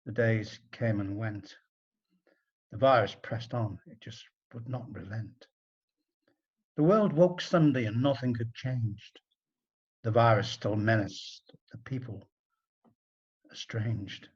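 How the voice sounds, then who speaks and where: A man narrating a rhyming story in an expressive, dramatic storybook style with deliberate phrasing. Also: mid-range voice, slightly rough, lo-fi sound.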